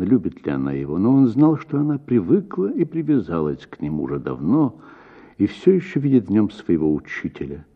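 A man reading a literary story aloud in an expressive, measured, dramatic way with deliberate pauses. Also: deep voice, husky, phone-quality sound. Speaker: actor reading a short story.

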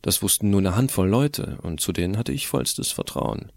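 A man's voice: fairly low pitch, expressive, moderate pace, vocal fry, darkish tone, no room sound. A man playing a cocky, irreverent teenage schoolboy who narrates his own story in a sardonic, colloquial way in an audio drama. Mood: mocking. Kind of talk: first-person scripted narration, fan audio drama.

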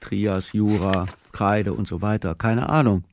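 An actor playing a pensive, solitary man musing over facts in a literary radio play, with a gravelly voice and measured, deliberate delivery. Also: lowish voice, fairly even intonation, a little mellow, a little hiss or hum.

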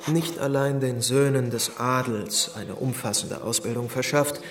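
Man as deliberating senior cleric, radio drama: medium pitch, formal, authoritative, pondering. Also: a crowd in the background, close to an everyday voice. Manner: pondering, pausing with hums, formal. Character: commanding, deliberating abbot dictating a letter.

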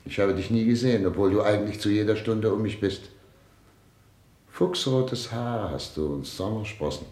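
A man's voice: lowish voice, normal speed, a little mellow, reverberant, natural voice. A man in a radio play acting an imperious, uneasy president with a commanding, pompous, brooding manner. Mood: musing.

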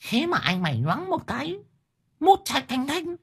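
A woman's voice: sits high, big pitch swings, moderate pace. A woman playing a coarse, talkative village wife who complains bluntly about her husband in an audio story. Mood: grumbling. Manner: blunt, exclamatory, colloquial complaining. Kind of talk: dramatized audio story dialogue.

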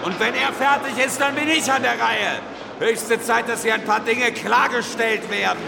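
Gravelly, high-pitched combative deputy. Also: male voice, normal speed, full character voice. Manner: commanding, blunt, threatening. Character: combative male deputy roaring from the benches. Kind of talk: radio drama. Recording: reverberant hall with noisy crowd.

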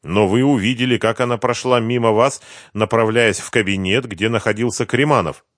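A narrator plays a male detective in a crime audiobook, calm and relentless. His medium-pitched voice is firm and commanding, questioning step by step. The sound is dry and close. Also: normal speed, no room sound, lightly acted. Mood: calm, probing. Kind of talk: audiobook detective novel dialogue.

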